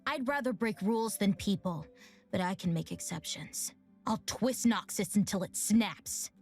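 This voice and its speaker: A woman's voice: mid-range voice, expressive, unhurried, a little mellow, faint music behind. A woman voicing a bitter, defiant teenage girl warrior in a video game, blunt and sardonic. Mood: hard, guarded.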